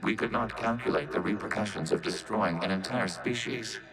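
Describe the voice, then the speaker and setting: A man voicing a calm, logical robot in a video game, speaking formally and precisely through a synthetic filter. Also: fairly low pitch, rough recording. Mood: matter-of-fact. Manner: measured, formal, precise, clinical. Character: calm, logical male robot of a networked AI race. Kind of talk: video game character acting.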